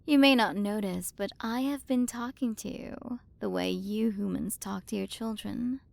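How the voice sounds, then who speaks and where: A woman's voice: medium pitch, a little slow, creaky. A woman playing a haughty, possessive alien queen and scientist who talks down in a commanding, teasing way, in an ASMR roleplay. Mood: smug, condescending.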